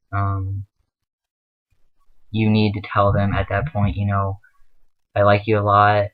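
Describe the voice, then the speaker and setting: A man's voice: on the low side, phone-quality sound. A young man giving earnest, rambling advice in a casual vlog, with many fillers. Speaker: vlogger.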